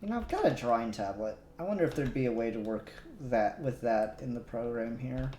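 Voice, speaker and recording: A man's voice: mid-range voice, animated, a little fast, dark tone, slight background noise. A man chatting casually as he hosts a live stream while working. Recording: roomy echo, music underneath. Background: music.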